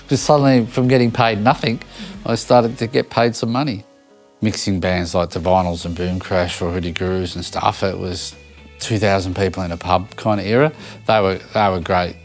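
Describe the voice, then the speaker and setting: An Australian man with a fairly low voice chats casually in an interview, looking back on his working life. His phrasing is informal and halting, and there is music along with his voice. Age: middle-aged or older. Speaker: interviewed live sound engineer and audio company owner.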